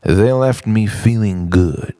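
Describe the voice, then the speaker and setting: A man performing a theatrical spoken word monologue in short, deliberate phrases, dramatic and shifting between hushed and loud delivery. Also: fairly low pitch, clear, resonant tone, no echo, clean studio sound. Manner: dramatic, swinging between hushed whispers and shouted outbursts.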